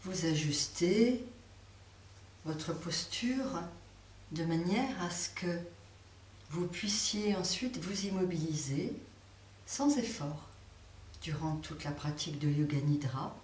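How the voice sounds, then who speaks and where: Woman yoga teacher, low-ish voice, very slow guided relaxation, distant roomy recording with faint hiss. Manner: slow, soft, pausing guidance.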